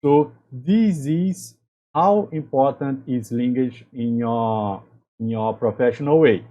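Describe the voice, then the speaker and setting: A man from Brazil, a native Portuguese speaker with a Brazilian accent, giving career advice to camera as a chemical engineer and channel host, in halting English with frequent restarts. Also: mid-range voice, warm tone, muffled recording. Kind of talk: talking-to-camera advice video.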